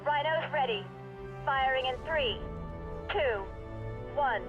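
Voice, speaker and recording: A brisk female scientist in a sci-fi war game. Her voice is high and confident, with precise technical phrasing. Loud music and battle sounds play behind her. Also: dull audio. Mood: focused, confident.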